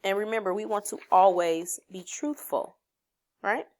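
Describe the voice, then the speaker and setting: A woman's voice: medium pitch, steady tone, unhurried, a little mellow. A woman teaching a read-aloud lesson to children, explaining simply and encouragingly, posing questions and then answering them herself. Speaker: elementary reading teacher.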